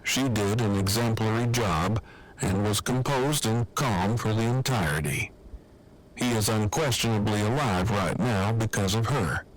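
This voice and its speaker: A man acts out an earnest survivor in an audio drama. His voice sits fairly low, and he speaks in stiff, wordy, precisely worded sentences through a walkie-talkie radio. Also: unhurried, rough recording. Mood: reassuring, measured. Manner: stiff, verbose, precise diction. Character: formal, long-winded, earnest male survivor. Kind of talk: fan audio drama acting.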